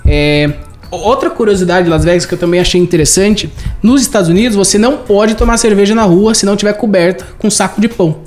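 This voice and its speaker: A man's voice: sits high, fast, a little mellow. Brazilian man in casual conversation.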